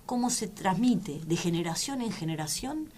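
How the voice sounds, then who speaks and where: A woman in her forties with a Rioplatense Argentine accent, a tango musician and teacher speaking as a radio interview guest, halting and often restarting her words. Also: on the low side, lively intonation, darkish tone. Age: 40s.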